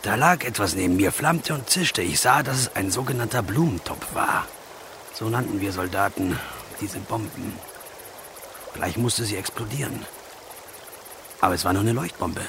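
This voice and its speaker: A naive, cheeky old soldier turned handyman in a radio play. He speaks in a lowish voice with folksy theatrical flair, over faint outdoor noise. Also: animated, moderate pace, long pauses. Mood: dramatic storytelling. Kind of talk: radio drama acting.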